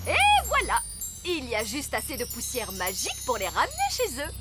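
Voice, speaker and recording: An actress voicing a spirited magic-maker in a French cartoon, with a theatrical, incantatory delivery. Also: female voice, high voice, huge pitch swings, a little fast, loud music behind the voice. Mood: confident. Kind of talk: French-dubbed children's cartoon voice acting.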